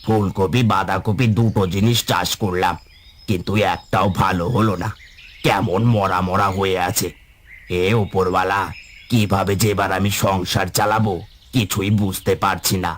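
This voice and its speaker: A man's voice: sits low, level tone, normal speed. A man voicing a weary, earnest village farmer in a cartoon, plaintive and burdened with worry. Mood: worried. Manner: lamenting, plaintive. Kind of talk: cartoon voice acting.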